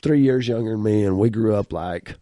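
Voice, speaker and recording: A man from rural small-town America hosts a podcast, talking with a stuffy nose. His voice is mellow and dark, and he talks in a folksy, rambling, laugh-prone way. The studio sound is close and dry. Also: on the low side, a little fast, dry recording. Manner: folksy, talkative, laughs often. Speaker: podcast host. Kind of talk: casual interview chat.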